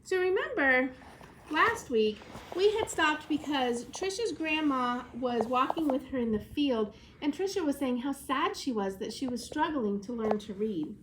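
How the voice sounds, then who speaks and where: A woman schoolteacher giving an expressive storybook read-aloud lesson in a clear, explanatory classroom manner. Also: high-pitched, unhurried, noisy background.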